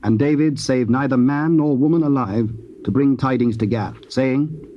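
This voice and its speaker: A man narrating scripture from a script in a solemn, measured, formal manner. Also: medium pitch. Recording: dull, faintly noisy old cassette.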